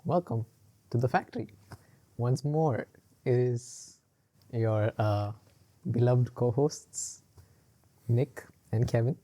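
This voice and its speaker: Male podcast co-host, mid-pitched and mellow, loose rambling chat full of fillers and laughs. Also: slow pace, pauses often. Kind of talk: casual chat.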